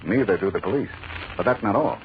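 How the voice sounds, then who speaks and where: A man's voice: lowish voice, animated, fast talker. An actor playing a grave, methodical male crime investigator in a 1940s radio drama, serious and probing. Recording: muffled, hissy 1940s radio recording.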